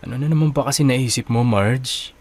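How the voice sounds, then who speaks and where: A man's voice: medium pitch, fairly quick, lightly acted. A man playing a young student officer in a radio drama, casual, bantering and slightly hesitant, with a Filipino accent. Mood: teasing. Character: young male student officer, nervous, teasing, good-natured.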